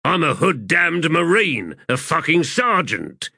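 A man's voice: a little high, sing-song, slow, gravelly, pushed and bright, husky, no echo, studio quality, pitched above the performer's own voice, full character voice. A male narrator voicing a crude, sardonic marine sergeant who talks in coarse soldier slang.

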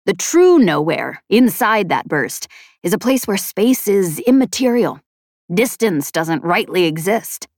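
Audiobook part: knowing male guide, earnest, formal, deliberate phrasing voiced by a female narrator. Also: medium pitch, sing-song, darkish tone, dry recording, clean studio sound.

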